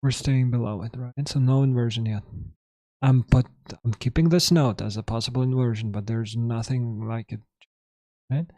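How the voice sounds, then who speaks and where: Hoarse man thinking aloud over charts. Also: mid-range voice, mellow, no room sound. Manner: thinking aloud, halting, self-correcting. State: hoarse, sore throat. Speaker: trading livestream host. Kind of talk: live market commentary.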